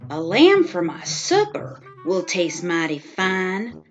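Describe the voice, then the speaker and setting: A woman's voice: lowish voice, wildly swooping pitch, takes it slowly, muffled recording, faint music behind, pitched below the performer's own voice, exaggerated voice. A woman voicing a wily, boastful male wolf in a children's storybook, smug and theatrical, relishing each word. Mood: scheming.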